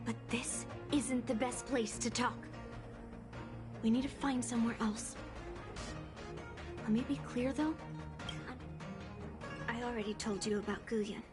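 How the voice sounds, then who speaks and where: Woman voicing a slow-spoken, headstrong young swordswoman over music. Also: a little high, steady tone, lightly acted. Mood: earnest, guarded. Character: headstrong young swordswoman, blunt, guarded, earnest. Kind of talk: English video game voice acting. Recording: music behind the voice.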